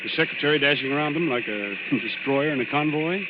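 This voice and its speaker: An actor playing a confident, gently patronizing male companion who soothes briskly, in 1940s radio drama style. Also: fairly high pitch, natural voice. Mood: soothing, confident. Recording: tinny old radio, loud engine noise.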